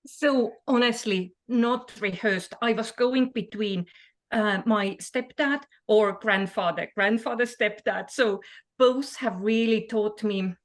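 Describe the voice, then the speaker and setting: A woman's voice: on the high side, slow pace. A middle-aged woman from Estonia, speaking conversationally as a panelist on a webinar. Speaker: sales leader on a panel. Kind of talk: conversational self-introduction on webinar. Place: online video call from an office.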